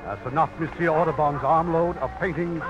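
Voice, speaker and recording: A man hosting a vintage radio drama, narrating from a script with formal, dramatic, deliberate phrasing. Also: on the high side, steady tone, music in the background. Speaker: radio producer and host. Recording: muffled old radio broadcast recording.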